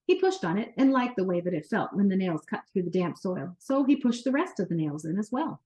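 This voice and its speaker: A woman narrating a children's book as an expressive, animated read-aloud storyteller. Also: medium pitch, fairly quick, rough recording.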